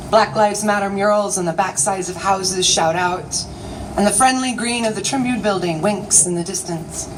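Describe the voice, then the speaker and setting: A woman writer performing her own piece as a live spoken-word reading, with a theatrical, declamatory delivery. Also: medium pitch. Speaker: writer and magazine editor performing own work. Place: city alley at a live event. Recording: distant mic, noisy outdoor ambience.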